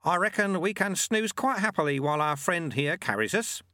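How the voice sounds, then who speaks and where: A man's voice: sits high, lively intonation, normal speed, bright, forceful tone, dry recording, clean studio sound, pitched above the performer's own voice. A man voicing a small elderly monk master in a storybook, wry, folksy and unflappable, with a cheeky instructive manner. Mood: quietly commanding.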